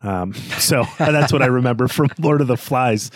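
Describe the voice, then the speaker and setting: A man's voice: medium pitch, dry recording. Discursive man, dry studio-like sound. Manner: discursive, halting, circles toward his point. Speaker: podcast host. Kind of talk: analytical literary discussion, conversational.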